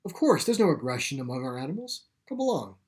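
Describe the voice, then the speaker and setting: A man reads the part of a genial middle-aged gentleman who looks after an animal sanctuary in a short story. He speaks courteously and reassuringly, with an unhurried, slightly old-fashioned formality. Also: medium pitch, very animated, lightly acted. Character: genial middle-aged male sanctuary caretaker, retired veterinarian.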